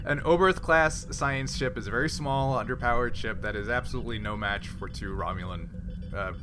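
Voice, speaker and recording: A man with a mid-pitched voice serves as game master for a tabletop roleplaying session. He lays out the situation in deliberate, improvised narration, recorded in a room with clear noise.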